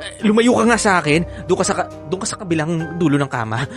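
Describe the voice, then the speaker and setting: High-voiced, bashful effeminate Filipino man played by an actor. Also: animated, quick pace, natural voice. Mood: nervous reluctant. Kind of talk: Filipino radio drama acting. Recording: music playing under voice.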